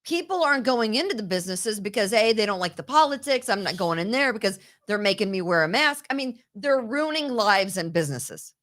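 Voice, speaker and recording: A woman hosting a political talk show, talking in an indignant, rapid way and often repeating herself. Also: mid-range voice, animated, fairly bright tone. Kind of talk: opinionated political chat. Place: New York.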